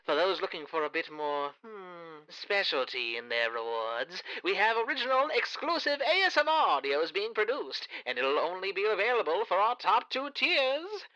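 Suave demon radio showman, bright and forceful, animated theatrical pitchman, band-limited radio effect. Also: normal speed, hardly any pauses, lo-fi sound, heavily acted. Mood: suave, enticing. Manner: theatrical, silky, formal salesmanship. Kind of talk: old-time radio host pitch.

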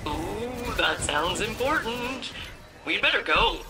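A woman's voice: on the high side, expressive, slow pace, noisy background. An actress playing a sardonic, glum female spaceship AI in a video game, dry and hesitant, with a synthetic filter. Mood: uneasy surprise.

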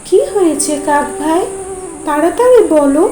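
A woman's voice: high voice, lively intonation, normal speed, reverberant, noisy background. A woman voicing an anxious little female tailorbird mother in a cartoon, pleading and worried.

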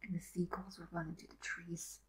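A woman voicing a teasing, lovelorn teenage superhero boy in a fan audio drama, whispering haltingly. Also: lowish voice, monotone, slightly rough, low-quality recording. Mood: self-mocking.

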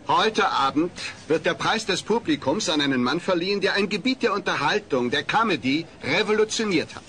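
An actor playing a polite, formal awards presenter making a ceremonial announcement in a radio play. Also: male voice, sits high, fairly bright tone, a little hiss or hum. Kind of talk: ceremonial stage announcement, acted.

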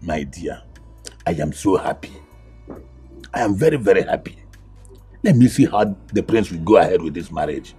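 Scheming gloating father, Nigerian accent, very raspy, deep, film drama. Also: expressive, moderate pace, long pauses, dark tone, faint music behind, full character voice.

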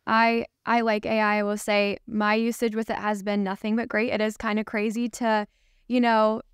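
A woman's voice: medium pitch, flat delivery, moderate pace, smooth, no room sound. A young woman hosting a podcast in a small studio, chatting in an upbeat, enthusiastic way. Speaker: podcast host. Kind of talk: casual podcast chat. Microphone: studio mic on boom at the mouth.

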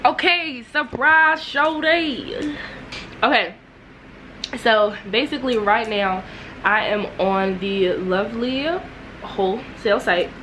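A woman's voice: on the high side, slow pace, bright, forceful tone, slight background noise. A young woman in her twenties vlogging casually, talking straight to her viewers in loose slang, recorded close on a handheld phone. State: raspy morning voice. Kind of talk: casual vlog chat. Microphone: handheld phone camera close up.

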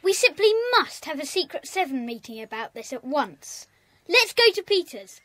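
Excitable child for a children's radio play, extremely high, urgent, dry sound. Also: female voice, moderate pace, no room sound, heavily acted. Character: excitable schoolchild in a secret club. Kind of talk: children's audio drama acting.

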